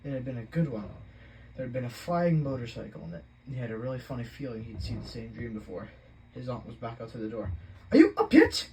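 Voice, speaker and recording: A man with a medium-pitched voice reads a novel aloud in a plain, steady storytelling manner. A faint hiss of noise sits under his voice. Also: fast talker, poor audio. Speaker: audiobook reader.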